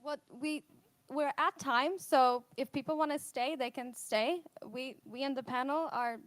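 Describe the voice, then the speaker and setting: A woman moderator with a smooth, clear, fairly high voice, recorded dry and close. She is polite and brisk as she manages the panel. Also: no room sound.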